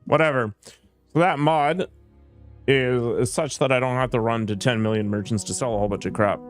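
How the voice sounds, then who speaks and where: A man's voice: mid-range voice, fairly even intonation, a little fast, faint music behind. A man streaming a video game, chatting casually and crudely with his viewers and poking fun at himself. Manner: joking, crude, self-mocking. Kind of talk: casual gameplay commentary to chat.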